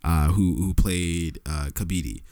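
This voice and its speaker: A man with a fairly low voice talks through a film review in a loose, casual way. He stops and restarts often, stacking up fillers as he hunts for words. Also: steady tone, a little mellow. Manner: halting, many restarts and fillers. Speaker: podcast co-host.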